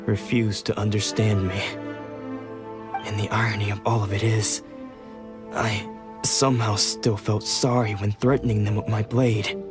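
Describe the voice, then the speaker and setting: A man's voice: on the low side, close to an everyday voice. An actor playing a weary man who lost his memories, speaking in a brooding, melancholic way. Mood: bitter. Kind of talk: video game voice acting. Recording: game score behind.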